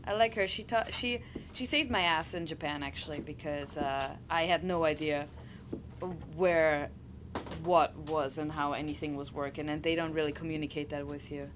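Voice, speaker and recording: German-native woman, lower voice, chatty. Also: background noise. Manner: laughing, halting, restarts often. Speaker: wrestler guest. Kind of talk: casual interview chat.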